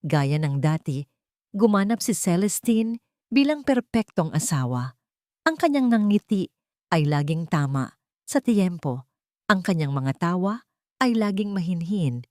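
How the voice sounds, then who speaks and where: A woman's voice: lowish voice, very animated, a little slow, long pauses, darkish tone, dry recording, studio quality. A woman reading a scripted dramatic romance story as its narrator.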